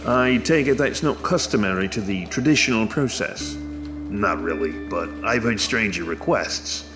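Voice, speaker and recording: An actor playing an obliging small-town lawyer, chatty and informal, in an audio drama. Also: male voice, fairly low pitch, expressive, normal speed, music in the background, natural voice. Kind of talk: scripted audio drama dialogue.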